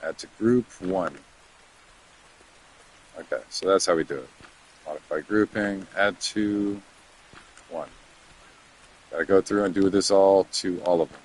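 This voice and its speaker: A man's voice: deep voice, a little slow, pauses often, a little mellow, a little hiss or hum, poor audio. A man in his thirties chatting casually to his viewers while he plays a game, rambling from topic to topic and muttering to himself as he works through menus. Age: 30s. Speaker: game streamer.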